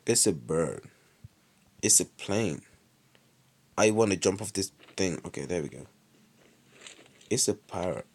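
A young British man with a lively voice commentating his mobile game live, chatty with sudden excited outbursts, saying he is ill. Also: low-pitched, a little slow, pauses often, slightly rough. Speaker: streamer.

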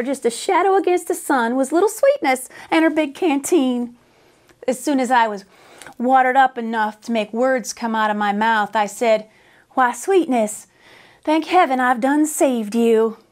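A middle-aged American woman narrates a children's storybook like a teacher at story time. Her voice is on the high side, and she leans into a drawling, folksy Western dialect with plenty of expression. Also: normal speed. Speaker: teacher reading a storybook aloud. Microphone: lapel.

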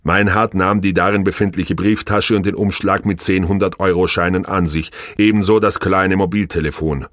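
Low-voiced male audiobook narrator, dry sound. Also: fairly even intonation, normal speed, hardly any pauses, dry recording. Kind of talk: scripted novel narration.

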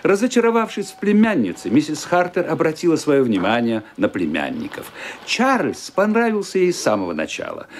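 A man narrating a literary radio drama in an expressive storytelling manner, building suspense. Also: on the high side. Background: violin music.

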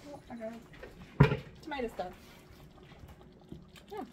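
A woman's voice: sits high, very animated, takes it slowly. A woman chatting casually as she cooks at her home stove, thinking aloud and poking fun at herself. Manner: chatty, self-deprecating, thinking aloud while cooking. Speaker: home cooking vlogger. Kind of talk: casual cook-along narration. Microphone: camera overhead, speaker partly off frame. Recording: noisy home kitchen recording.

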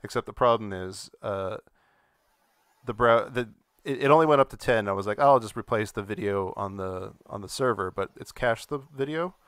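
A man hosting a casual live-stream chat, talking in a halting, distracted way. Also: on the low side, fairly bright tone, no echo, studio quality. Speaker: podcast host running the stream.

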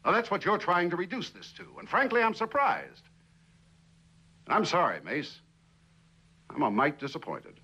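A cynical middle-aged boss in old tv drama, husky and forceful, lecturing you. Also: male voice, high voice, very animated, exaggerated voice, rough recording. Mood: lecturing, condescending. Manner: lecturing, measured, condescending. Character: stern, cynical middle-aged company boss, coldly persuasive. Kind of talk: 1960s television drama acting. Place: indoor living room set.

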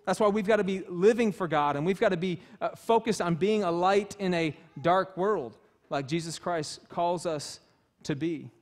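High voiced guy in his 30s preaching, fired up, small church, mic a bit off. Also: moderate pace. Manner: passionate, emphatic, gesturing freely while preaching. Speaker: pastor. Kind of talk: sermon. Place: small church stage with wood-panel walls.

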